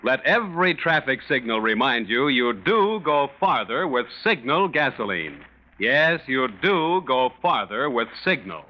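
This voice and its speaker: A man's voice: medium pitch, big pitch swings, unhurried, background noise. An American man announcing a 1940s radio commercial with emphatic, polished sales delivery. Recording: dull 1940s radio recording.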